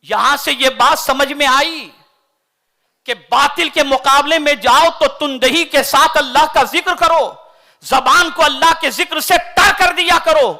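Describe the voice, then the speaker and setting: High, bright-voiced male preacher. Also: unhurried. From India. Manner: oratorical, swings from loud declaiming to soft Quran recitation. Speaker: Islamic preacher. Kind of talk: sermon.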